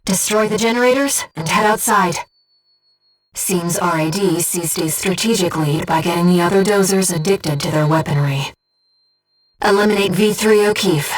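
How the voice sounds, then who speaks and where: A woman voicing a gentle, earnest disembodied female companion in a video game, speaking formally and with measured composure as mission support. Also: on the low side, distant mic, faint music behind, close to an everyday voice, low-quality recording. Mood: composed, informative. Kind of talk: scripted video game voice acting, mission briefing.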